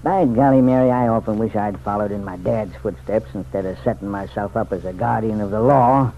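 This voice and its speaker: An actor playing a folksy, weary and cautious small-town sheriff in a vintage radio serial, homespun and given to thoughtful hums. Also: male voice, fairly low pitch, fairly quick, a little hiss or hum, lightly acted. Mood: rueful. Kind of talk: 1940s radio serial acting. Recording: tinny, narrow vintage radio recording.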